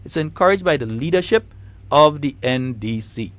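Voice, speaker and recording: A man's voice: fairly high pitch, expressive, normal speed. A man with a Trinidadian and Grenadian accent, hosting a talk radio show with emphatic, repeated, combative points. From Trinidad and Tobago.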